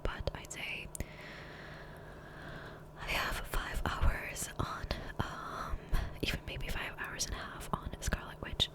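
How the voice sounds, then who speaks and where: A woman speaking in a soft, breathy whisper, rambling casually and unhurriedly in an ASMR gameplay voiceover on a close microphone. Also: takes it slowly. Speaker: ASMR creator. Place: voiceover recorded separately from gameplay. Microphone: Blue Yeti USB microphone, close.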